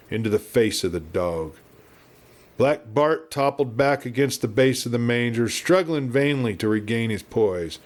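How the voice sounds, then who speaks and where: A man reading a western novel aloud as an audiobook narrator, in a measured and dramatic storytelling style. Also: medium pitch. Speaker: audiobook reader.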